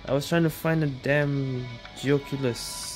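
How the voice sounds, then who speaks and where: A woman's voice: very deep voice, steady tone, measured pace. A woman giving casual, offhand gameplay commentary in short reactions as she streams a game. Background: game music.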